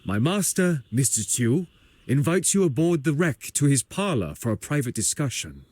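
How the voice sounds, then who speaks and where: An actor voicing a formal, polite and authoritative retainer in a video game. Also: male voice, medium pitch, big pitch swings, normal speed, darkish tone, no room sound. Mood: stern, courteous. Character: courtly, commanding male retainer of a master.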